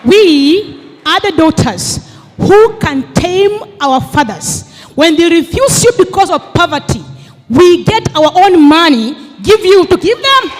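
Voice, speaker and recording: Woman on a panel, high voice, rousing and teasing, echoey hall. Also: sing-song, takes it slowly. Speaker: panelist, geographer. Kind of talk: impassioned public debate speech. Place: large hall with audience. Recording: long hall reverb with faint noise.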